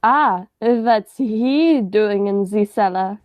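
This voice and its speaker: A woman's voice: medium pitch, slow pace, dry recording, clean studio sound, lightly acted. A woman playing a nervous, cautious criminal doctor, a sidekick in an amateur radio play. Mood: uneasy. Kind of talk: radio play acting, high school theatre.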